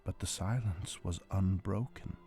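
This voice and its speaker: A man recites gothic narrative verse in a slow, gravelly voice. His delivery is theatrical, dropping to hushed, breathy murmurs and rising to forceful cries. A string quartet score plays underneath. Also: low voice, soft background music. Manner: theatrical, swinging from hushed whispers to loud outbursts. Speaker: amateur reader of poetry. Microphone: close handheld portable recorder. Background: dramatic string quartet score.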